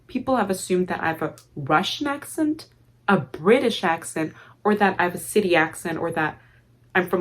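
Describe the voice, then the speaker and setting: A young woman with an Armenian accent telling a personal story in a casual vlog, choosing formal, elaborate words but often letting them tumble out impulsively, with the odd "like". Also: on the low side. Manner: formal, elaborate diction with filler "like". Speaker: vlogger.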